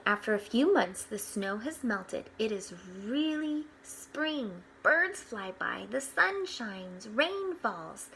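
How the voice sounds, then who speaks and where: A woman reads a children's picture book aloud at an unhurried pace. Her voice sits a little high and she tells it expressively, adding small explanatory asides. There is faint noise behind her. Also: rough recording. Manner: expressive, with hushed asides pointing things out.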